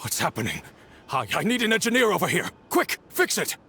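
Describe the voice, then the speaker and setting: High, creaky, blustering boss. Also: male voice, big pitch swings, fairly quick, pushed and bright, slight background noise, heavily acted. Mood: panicked. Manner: agitated, demanding. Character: blustering, greedy boss clinging to a stolen prototype. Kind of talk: animated video game acting.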